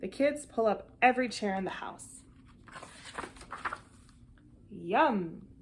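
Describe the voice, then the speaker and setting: A woman reads a children's picture book aloud with an animated, deliberate storytelling delivery. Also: on the high side, a little hiss or hum. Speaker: storybook reader.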